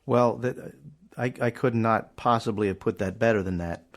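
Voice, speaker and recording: A man's voice: on the low side, steady tone, normal speed. A man hosting a casual podcast interview, asking friendly questions and laughing often. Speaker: podcast host, drum teacher.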